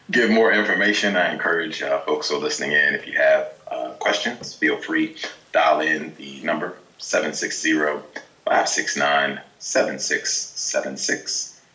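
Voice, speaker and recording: A man's voice: rumbling low, pushed and bright, reverberant, poor audio. A man hosting a call-in talk show, introducing his guest and giving out the dial-in number, with many "uh" fillers between phrases. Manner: frequent "uh" fillers, upbeat welcoming delivery. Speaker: radio show host. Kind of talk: call-in internet radio hosting.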